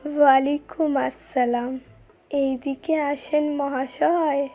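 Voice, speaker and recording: A woman playing an elderly, teasing grandparent who challenges deliberately in an audio story. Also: high-pitched, level tone, warm tone. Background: background music.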